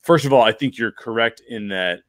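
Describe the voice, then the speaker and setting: A man's voice: mid-range voice, fairly quick, a bit bright, no echo. Podcast host: male, middle-aged, mid-range voice, emphatic conversational explainer, dry close mic. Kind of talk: casual film discussion. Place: small bedroom, indoors. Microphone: close podcast microphone with foam cover.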